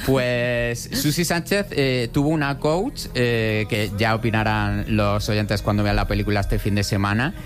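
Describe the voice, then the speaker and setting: A man's voice: on the low side, measured pace, hardly any pauses. A man working as a film critic on a radio show, talking conversationally about films.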